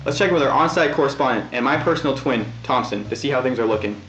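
A man's voice: mid-range voice, fast, a bit bright, faint music behind. A young man presenting a scripted weather report in a casual, easygoing way. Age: young adult. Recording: roomy lo-fi old tape.

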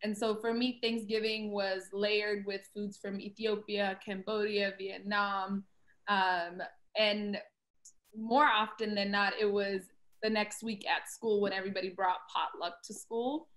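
A woman's voice: medium pitch, little pitch movement, unhurried, a bit bright. A woman cookbook author, from Somalia and Kenya, speaking reflectively on a video call from home. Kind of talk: panel answer. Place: home room at night.

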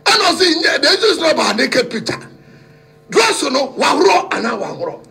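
A man's voice: extremely high pitch, sing-song, brisk, creaky, bright, forceful tone. A middle-aged Ghanaian-accented man in heated live talk show banter, exclaiming and repeating phrases for emphasis. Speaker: radio show panelist. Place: small radio studio, indoors. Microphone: studio microphone with pop filter.

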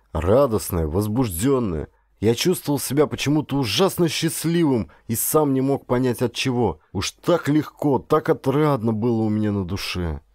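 A man's voice: medium pitch, darkish tone, no echo. A man reading a first-person adventure story as an audiobook narrator, in a scripted literary style.

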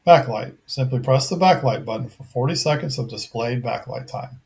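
A middle-aged man narrating a scripted technical product review in a measured, methodical, matter-of-fact way. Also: mid-range voice, gravelly, darkish tone.

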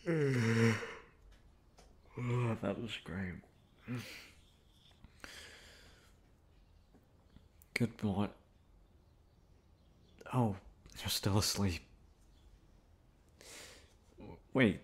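A man playing a shy, flustered, gentle young classmate in an ASMR roleplay, hesitant and stammering. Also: fairly low pitch, drawn-out words, long pauses, slight background noise. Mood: quiet panic. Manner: hesitant, stammering, soft-spoken.

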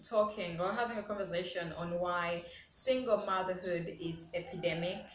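A woman's voice: lowish voice, moderate pace. A woman in her twenties with a Ugandan accent, speaking emphatically to camera in a casual opinion vlog and repeating key words. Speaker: vlogger. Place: small bare-walled room indoors. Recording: echoey room with background hiss.

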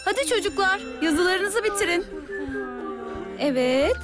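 A woman voicing a kindly schoolteacher in a cartoon, gentle and encouraging. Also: extremely high pitch, lively intonation, measured pace. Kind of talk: cartoon voice acting. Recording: loud music behind.

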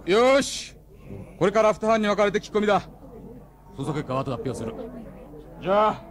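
An actor playing a brisk male police detective running an investigation in a radio drama, with a gravelly voice. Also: high-pitched, animated. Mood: brisk, puzzled.

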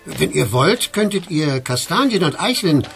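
Friendly, hesitant man, animated melody. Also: on the high side, unhurried, vocal fry, faint music behind, heavily acted. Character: friendly, hesitant male forest keeper in a hurry. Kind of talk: children's audio drama acting.

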